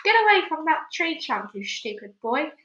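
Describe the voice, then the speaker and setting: A shrill, high-pitched storybook aunt played by a woman reader, greedy and bossy. She yells and snaps with wild excitement, her bright voice ringing in an echoey room. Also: moderate pace, muffled recording, a little hiss or hum, heavily acted. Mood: bossy, snapping. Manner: yelling, snapping exclamations.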